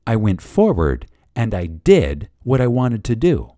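A man reading a spiritual lecture dramatically, with reverence, emphasis and deliberate pauses. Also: fairly low pitch, huge pitch swings, warm tone, no echo, studio quality. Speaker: reader of a lecture.

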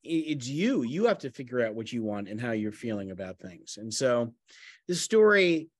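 A man's voice: medium pitch, sing-song, no room sound. Middle-aged man thinking aloud, dry sound. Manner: halting, thinks aloud, rephrases often. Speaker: playwright. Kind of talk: talkback discussion. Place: room at home, on a video call. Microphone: video call.

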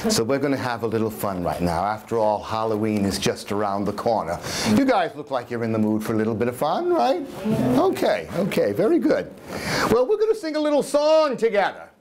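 A man's voice: mid-range voice, wildly swooping pitch, a little fast, crowd noise. An older man talking theatrically to a live audience as a storyteller, addressing the room directly. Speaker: storyteller giving dramatic readings. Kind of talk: live performance patter to an audience. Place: dim parlour of a house, small seated audience.

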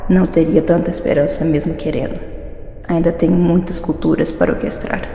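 A sentient program voiced by a woman speaks in a low, mellow female voice for an audio drama. She is formal, measured and quietly sly, with gravel at the edges, in a reverberant space. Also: little pitch movement. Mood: composed, cool. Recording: echoey reverberant dream-space effect.

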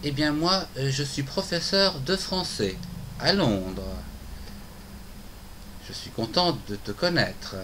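Middle-aged man, clear learner phrases. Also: fairly high pitch, animated, takes it slowly, pauses often. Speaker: French teacher. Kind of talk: scripted dialogue for a language lesson. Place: home room with bookshelves, indoors. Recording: noisy home video.

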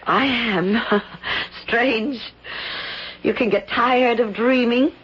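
A woman's voice: on the high side, husky, full character voice. An actress playing a forthright young woman, an out-of-work actress, in a 1970s radio drama. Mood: awed. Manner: forthright, quick to question. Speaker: actress hired to play a queen. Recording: muffled old radio broadcast with faint hiss.

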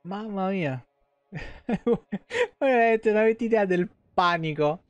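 A man's voice: sits high, slow pace, smooth, dry recording, dull audio, faint music behind. A young man doing casual, slangy live game commentary with some swearing. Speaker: game streamer. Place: small indoor room, webcam stream.

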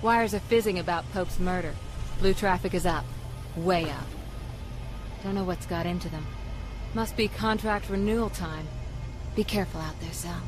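A woman's voice: fairly low pitch, moderate pace, pauses often, over music, lightly acted. A woman playing a tough, guarded young female runner in a video game cutscene, terse and cool. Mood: wary.

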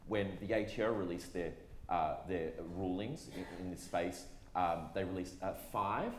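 A very low-voiced man talks through a panel answer, hedging and restarting as he goes. His voice rings a bit in a large, echoey hall, with a faint hiss behind it. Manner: measured, many fillers and restarts. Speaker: panelist and moderator. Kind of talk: conference panel discussion.

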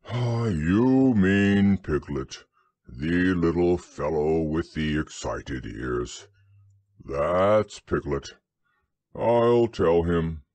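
A gloomy old donkey drags out every word in a low, sighing drawl, as a man puts him on. His speech wanders up and down in pitch. It sounds close and dry. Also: animated, a little mellow, no room sound, full character voice. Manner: slow, mournful, sighing drawl. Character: gloomy old male donkey, slow and self-pitying.

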